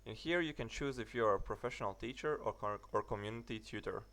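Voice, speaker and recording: A young British man narrating a website walkthrough, halting with many fillers and restarts. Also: on the low side, a bit bright. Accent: British English. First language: English. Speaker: tutorial narrator. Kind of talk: screen-recorded tutorial walkthrough.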